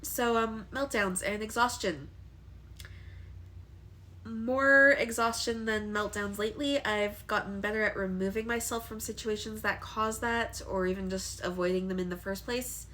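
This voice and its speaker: A woman's voice: medium pitch, steady tone, a little slow, pushed and bright. A young woman with a congested voice from allergies, talking candidly to camera in a personal vlog, hesitant with frequent ums. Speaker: vlogger.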